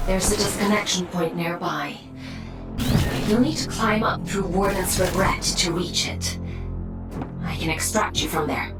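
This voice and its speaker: A woman playing a brisk, concerned technical handler in a video game, explaining things in an analytical way. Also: medium pitch, roomy sound, far from the mic, lightly acted. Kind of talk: video game dialogue acting.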